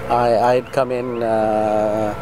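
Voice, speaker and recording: A middle-aged man from Tanzania giving reflective interview answers in a halting, deliberate, earnest way. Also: fairly low pitch, level tone, background noise. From Tanzania, East Africa. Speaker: interviewee, pediatrician and medical association president.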